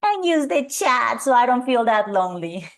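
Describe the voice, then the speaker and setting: A middle-aged woman from Colombia with a Colombian Spanish accent, presenting an energetic live webinar and calling out audience members by name. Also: a little high, moderate pace. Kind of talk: live webinar coaching talk.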